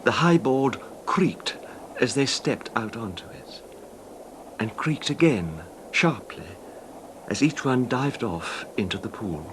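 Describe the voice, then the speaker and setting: An actor playing a controlled, wronged husband calmly confessing his revenge in a 1970s radio drama, measured and understated, with slight hesitations. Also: medium pitch, background noise. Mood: hushed, chilling.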